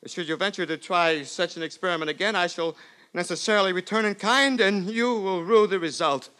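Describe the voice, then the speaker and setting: An actor playing a cocky, scornful gambling rogue in a 1950s radio drama, bombastic and mocking in manner. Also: male voice, high-pitched, brisk, bright, forceful tone, low-quality recording. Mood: affronted threat.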